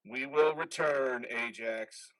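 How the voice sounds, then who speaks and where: A man's voice: mid-range voice, slow pace, fairly bright tone, rough recording. A man playing the ghost of an army colonel in a play reading, authoritative and insistent. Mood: stern, commanding. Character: commanding, taunting male ghost of an army colonel. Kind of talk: live amateur play reading.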